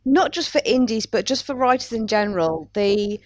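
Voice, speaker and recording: A woman's voice: fairly high pitch, animated, normal speed, darkish tone, no echo. A woman hosting a podcast, talking casually in a chatty, self-deprecating way. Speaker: podcast host.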